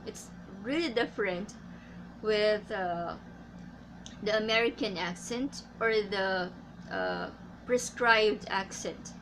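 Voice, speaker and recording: A woman from the Philippines with a Filipino accent, an English teacher giving tips in a casual vlog, speaking carefully with many pauses and fillers. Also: medium pitch, big pitch swings, fairly bright tone, slight background noise, rough recording. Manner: slow and careful, frequent uh fillers.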